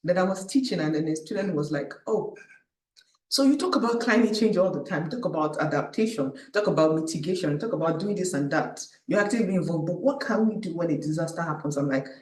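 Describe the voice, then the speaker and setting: Woman, panel speaker, lively storyteller who voices her own thoughts. Her voice is miked from a distance and fills a reverberant room. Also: fairly low pitch, quick pace. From Nigeria. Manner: animated storytelling, acts out her own thoughts, tags lines with "right?". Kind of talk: personal talk at a public event. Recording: echoey room, distant mic.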